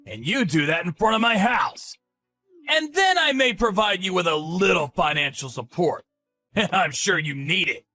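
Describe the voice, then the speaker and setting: A man voicing a spiteful, sneering middle-aged ex-husband who shouts and taunts in a dramatized story. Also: high-pitched, lively intonation, moderate pace, soft background music, heavily acted. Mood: gloating.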